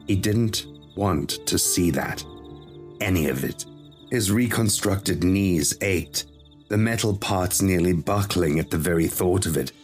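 A man's voice: low voice, unhurried, soft background music. A man reading a scripted short story as a dramatic audio narrator.

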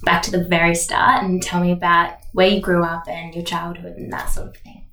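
A woman's voice: on the low side, completely flat delivery, brisk, background noise. Woman hosting an interview, keen and encouraging, sounds echoey. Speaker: podcast interviewer. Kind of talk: casual interview. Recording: echoey, distant from mic.

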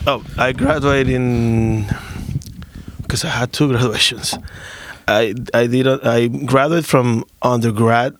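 Man, 30s, interview guest, halting, self-correcting, quick to laugh. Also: medium pitch, steady tone. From Dominican Republic. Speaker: guest musician. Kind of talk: casual interview answers.

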